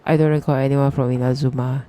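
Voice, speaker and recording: A woman's voice: very deep voice, level tone, quick pace, mellow, faint music behind. A woman live-streaming a video game with casual, hesitant commentary, often murmuring asides to herself.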